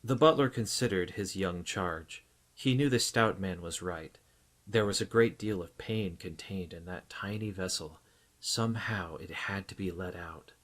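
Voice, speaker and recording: Guy narrating a novel audiobook, lowish voice, scripted storytelling read. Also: a little slow, a bit bright.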